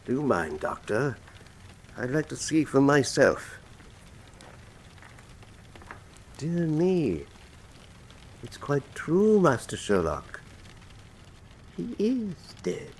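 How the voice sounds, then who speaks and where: An actor playing an urbane, courtly cardinal in a radio drama, speaking with formal, wry courtesy. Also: male voice, a little high, huge pitch swings, takes it slowly, long pauses, a little mellow, slight background noise, full character voice. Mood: wry, composed. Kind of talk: full-cast radio drama acting.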